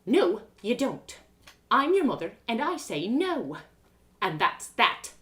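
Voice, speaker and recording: A woman's voice: fairly high pitch, sing-song, slow, fairly bright tone, pitched above the performer's own voice, heavily acted. A woman voicing an anxious, domineering village mother in a fantasy story, scolding and insistent. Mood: angry.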